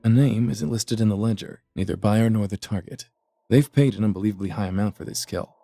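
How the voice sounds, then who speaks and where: A man playing a blunt, inquisitive god of death with measured, formal speech in an audio drama. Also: on the low side, vocal fry, dark tone. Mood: calm, measured. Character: impassive, inquisitive male god of death, blunt assassins' accountant.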